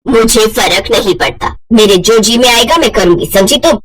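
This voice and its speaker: A woman's voice: on the high side, normal speed, husky, distant mic. A woman voicing a haughty, shameless middle-aged mother-in-law in a moral story, snooty and dismissive. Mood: haughty, defiant.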